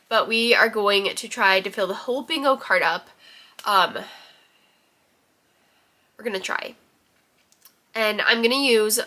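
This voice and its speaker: A young woman with a bright, clear-edged voice, stuffed up and nasal from a head cold. She talks casually to her camera at home, rambling with plenty of ums and likes, her pitch sitting right in the middle for a woman. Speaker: book vlogger. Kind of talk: casual vlog chat. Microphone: camera in her room.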